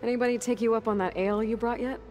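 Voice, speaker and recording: A woman's voice: a little high, steady tone, racing, a little mellow, natural voice. A woman playing a determined, sincere young warrior in a video game, speaking in an earnest, understated and dryly wry way. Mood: casual, dry. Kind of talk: video game dialogue acting. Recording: music playing behind the voice.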